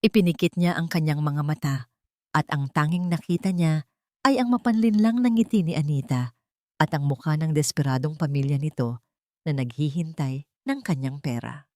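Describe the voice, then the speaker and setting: A woman's voice: deep voice, moderate pace, a little mellow, dry recording, clean studio sound. A woman reading a scripted romantic drama story as its narrator.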